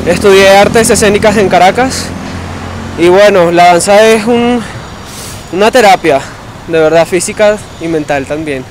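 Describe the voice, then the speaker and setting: A young man from Venezuela, an aerial acrobat, giving thoughtful, measured answers on a street corner. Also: high-pitched, slight background noise, low-quality recording. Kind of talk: casual interview answers. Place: street corner outdoors by traffic.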